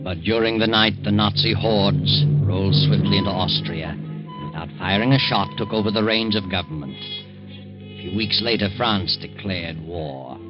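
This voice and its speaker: An actor as a grave, dramatic storyteller narrating a 1940s radio mystery on an old recording. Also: male voice, sits low, moderate pace, dull audio, natural voice. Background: music.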